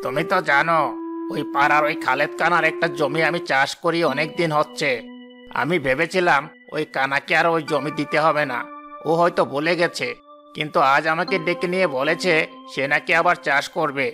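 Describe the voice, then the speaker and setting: A man voicing a greedy, sly, two-faced village farmer in an animated moral story. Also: a little high, moderate pace, music in the background, lightly acted. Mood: aggrieved. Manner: falsely reassuring, gloating.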